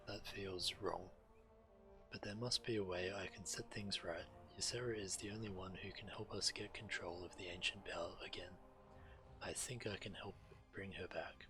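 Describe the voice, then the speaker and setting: An actor playing an earnest, mournful old druid in a fantasy game, speaking solemnly with music behind him. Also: male voice, low-pitched, lightly acted, low-quality recording. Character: earnest old male druid, mournful father seeking atonement.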